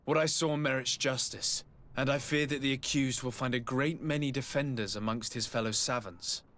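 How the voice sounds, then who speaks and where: Male actor as earnest game nobleman, grave, measured, formal diction, medium pitch. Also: steady tone, fairly bright tone, natural voice. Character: grave, principled nobleman reporting atrocities.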